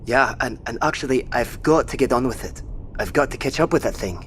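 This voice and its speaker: Actor as insistent male survivor over faint rumble. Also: brisk, fairly bright tone, lightly acted. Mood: urgent, insistent. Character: urgent, wary male survivor tracking giant creatures, commanding but courteous. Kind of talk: naturalistic audio drama acting. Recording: faint low rumbling background noise.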